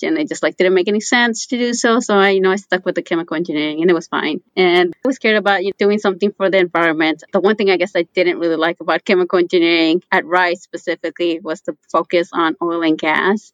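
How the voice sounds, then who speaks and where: A woman's voice: fairly low pitch, no room sound, dull audio. A young woman in her twenties, a guest on a podcast, chatting in an easygoing way about her background. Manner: easygoing, talks in long runs with "like" and "you know". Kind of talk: casual conversation.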